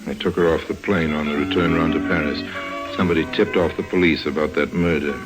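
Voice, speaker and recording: An actor playing a suave, wry con man in a 1950s radio drama, unhurried and drily joking. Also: very deep voice, steady tone, over loud music. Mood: smug, amused. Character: suave, wry adult male rogue narrator, coolly amused con man. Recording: old 1950s radio recording.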